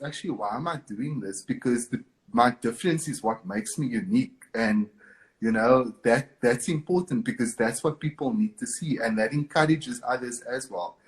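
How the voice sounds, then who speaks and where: A man's voice: medium pitch, poor audio. A man from Cape Town with a South African accent, a guest speaking earnestly and encouragingly in a live video interview. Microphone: video call.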